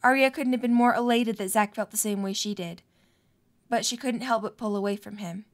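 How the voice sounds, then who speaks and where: A woman's voice: mid-range voice, a little fast. A woman reading scripted narration for a fan-made audio drama.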